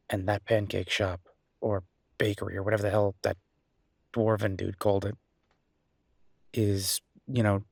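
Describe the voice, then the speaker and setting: A man playing an earnest, down-to-earth chef boyfriend in an audio roleplay, talking casually and a little hesitantly in a husky voice. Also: on the low side, dry recording, clean studio sound. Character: enthusiastic down-to-earth chef boyfriend opening a mountain restaurant. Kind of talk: audio roleplay acting, casual one-sided conversation.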